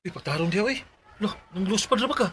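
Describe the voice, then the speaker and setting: Friendly Cebuano office pal in radio drama, slightly high voice, colloquial, advising. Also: male voice, lively intonation, fast talker, fairly bright tone, a little hiss or hum. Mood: friendly, urging. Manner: chummy, colloquial. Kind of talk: Filipino radio drama acting.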